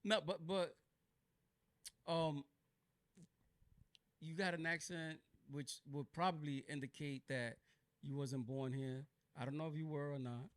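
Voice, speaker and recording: A man's voice: a little high, steady tone, measured pace, long pauses, no room sound. Laid-back man hosting a podcast. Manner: laid-back, laughs along, asks questions. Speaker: podcast host. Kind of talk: casual podcast interview.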